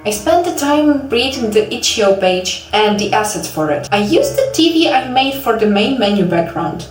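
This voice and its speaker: A woman from Ukraine, a native Ukrainian speaker, narrating a video devlog in an informal, self-deprecating way. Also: a little high. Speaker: video creator and game developer. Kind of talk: scripted devlog narration with casual asides. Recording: roomy echo, distant mic, background music.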